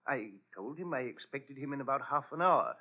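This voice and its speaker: An actor playing a polite, hesitant and servile butler in a 1940s radio drama. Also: male voice, medium pitch, lively intonation, fairly quick, lightly acted. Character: deferential, hesitant household butler. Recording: muffled old radio broadcast.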